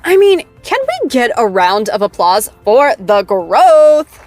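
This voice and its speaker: A woman's voice: a little high, very animated, takes it slowly, background noise. An actress playing a bubbly, teasing after-show host in a scripted audio drama, gushing and playful with her guest.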